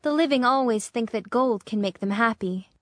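A woman's voice: a little high, normal speed, no echo, clean studio sound, close to an everyday voice. A woman voicing a compassionate male statue prince who talks in an earnest, pleading way. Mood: earnest, gentle. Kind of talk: storybook reading.

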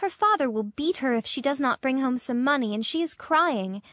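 A woman playing a noble, sorrowful statue prince in a storybook, speaking gently, pleadingly and in measured phrases. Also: sits high, lively intonation, no echo, studio quality. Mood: pleading, compassionate. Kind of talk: acted storybook dialogue.

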